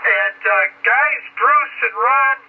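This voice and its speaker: The sound is a thin, narrow shortwave transmission with clear static. On it, a man with a high voice talks as an amateur radio operator. He chats casually with other stations, explaining and relaying in a halting way.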